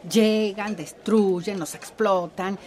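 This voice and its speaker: A woman's voice: medium pitch, fairly even intonation, slow pace, a little mellow, a little hiss or hum, close to an everyday voice. A woman playing a worldly, sardonic and secretive middle-aged activist in a radio drama. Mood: scornful.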